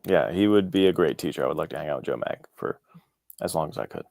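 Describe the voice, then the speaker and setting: A man's voice: extremely low pitch, expressive, fast, vocal fry, darkish tone, dry recording, clean studio sound. A man talking casually and informally as an interview guest, with an enthusiastic manner. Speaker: guest, indie author.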